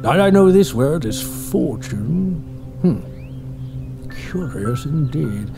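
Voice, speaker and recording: A man's voice: mid-range voice, big pitch swings, slow pace, gravelly, exaggerated voice. An actor playing an obsessive retired male doctor in an audio drama, speaking in a clipped manner. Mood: obsessed. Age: older. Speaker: retired scholar. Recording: music score underneath.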